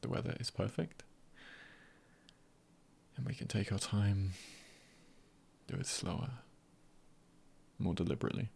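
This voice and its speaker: A man playing an easygoing, comforting male friend in an intimate ASMR roleplay, husky and gentle, with unhurried pauses and light teasing. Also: full character voice. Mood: calm, soothing. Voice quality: husky, breathy, gravelly low voice.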